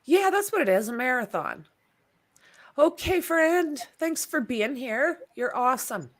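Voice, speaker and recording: A woman hosting a casual livestream chat, talking in a relaxed, rambling way with lots of fillers. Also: sits high, unhurried. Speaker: livestream host.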